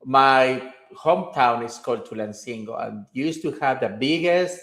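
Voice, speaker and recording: A man's voice: medium pitch, moderate pace, creaky, a bit bright. A man from Mexico, a guest answering interview questions in a friendly, enthusiastic way. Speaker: guest, aerospace CEO. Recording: echoey room.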